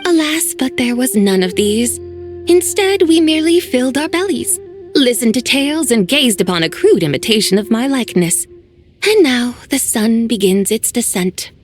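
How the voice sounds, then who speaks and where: Fairly high female voice, stately and archaic, a woman doing a teasing ancient spirit, soft game music under. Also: expressive, moderate pace, lightly acted. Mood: mock-disappointed. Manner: archaic, formal phrasing, dry teasing. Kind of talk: video game voice acting.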